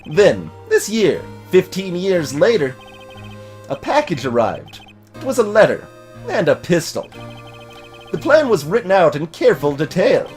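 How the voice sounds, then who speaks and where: A bitter old man out for revenge, voiced by a man, speaks dramatically and deliberately. His pitch moves a great deal, with game music playing behind him. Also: big pitch swings, darkish tone. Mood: bitter confession. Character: bitter, vengeful old man confessing in court.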